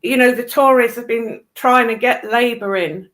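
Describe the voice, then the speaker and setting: A woman's voice: fairly high pitch, moderate pace, fairly bright tone. A British woman in casual political chat, speaking in a blunt, opinionated way with some swearing. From United Kingdom.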